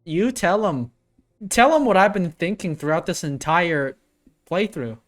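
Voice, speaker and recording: A man's voice: high-pitched, very animated, a little slow. A young man giving casual, slangy playthrough reaction commentary, talking straight to the characters. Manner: informal, slangy, addresses the characters directly. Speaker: gaming reactor.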